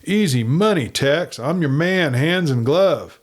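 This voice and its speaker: A man voicing a nervous, sly frontier agent in a western story, with an edgy, excitable manner. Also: fairly high pitch, slow, voice pushed up. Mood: eager.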